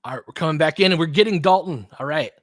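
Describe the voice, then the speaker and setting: A man's voice: fairly high pitch, quick pace, dry recording, clean studio sound. A man with an American accent gives casual live basketball commentary, mixing clipped play calls with informal, chatty asides. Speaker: fan host doing play-by-play commentary.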